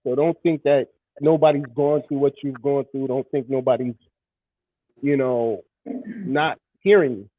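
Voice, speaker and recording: Man, halting podcast co-host, thin phone-like sound, dry. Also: mid-range voice, no echo. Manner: halting, many ums and restarts. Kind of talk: casual podcast chat. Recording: tinny phone-like line.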